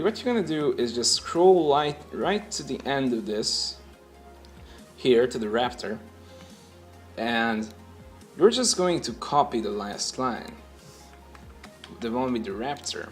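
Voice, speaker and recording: A man narrating a casual software tutorial, explaining things step by step as the host. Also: mid-range voice.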